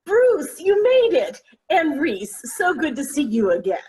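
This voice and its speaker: A woman's voice: high-pitched, wildly swooping pitch, measured pace, darkish tone, exaggerated voice. A woman playing an effusive older mother in a comedy play reading, gushing as she greets her family. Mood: delighted.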